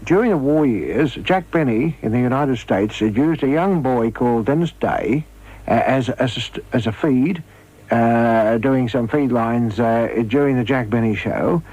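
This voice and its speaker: An older Australian man telling anecdotes in a radio interview, halting as he recalls them. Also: mid-range voice, darkish tone. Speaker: former radio comedy performer, interviewee. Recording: slightly dull archive radio interview.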